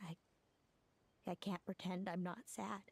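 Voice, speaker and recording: A woman voicing a gentle, sombre grown sister in a video game, speaking in a measured, solemn way. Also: fairly low pitch, flat delivery, gravelly, breathy edge, heavily acted. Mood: sad, resigned. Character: Selena, grown sister, gentle, sombre bearer of bad news.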